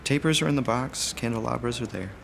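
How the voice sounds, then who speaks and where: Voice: medium pitch, fast talker, creaky, slight background noise, lightly acted. An actor playing a guarded, blunt manor servant with a dry, clipped, reproachful manner in an audio drama. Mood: wary, curt.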